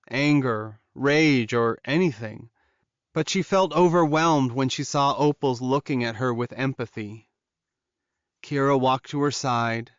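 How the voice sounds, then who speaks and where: A man's voice: medium pitch, clean tone, dry recording, dull audio. A man reading scripted fiction as an audiobook narrator.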